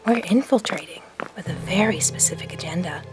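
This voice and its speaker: A woman playing a haughty, scheming rich teenage girl in a fan audio drama, speaking in a breathy, arch near-whisper. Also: fairly low pitch, brisk, natural voice. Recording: music bed behind voice.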